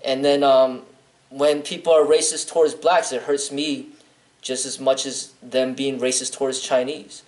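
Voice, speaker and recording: A man of medium pitch from Chicago speaks as an interview guest, blunt and earnest. He rambles, restarts phrases and fills gaps with "um". His voice sits in a roomy, echoey space. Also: flat delivery, unhurried, a little mellow. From Chicago, United States. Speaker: martial arts instructor, guest. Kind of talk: interview answers.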